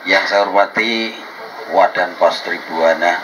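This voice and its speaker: A man giving a formal speech with a religious opening at a community gathering, measured and lightly joking. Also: low-pitched. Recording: noisy event recording.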